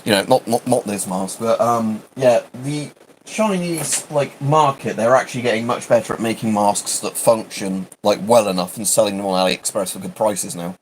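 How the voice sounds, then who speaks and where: A man's voice: on the low side, normal speed, slight background noise, rough recording. A British man chatting casually on a livestream, rambling and hesitant, often interrupting himself. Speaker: livestream host.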